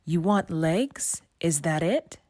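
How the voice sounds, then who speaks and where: A scheming, sinister sea witch from a children's storybook, acted out by a woman narrator. The voice is low and rasping, and the words come slowly, with menace and command. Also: animated, darkish tone, dry recording, clean studio sound. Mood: probing, menacing. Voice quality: croaky, rasping.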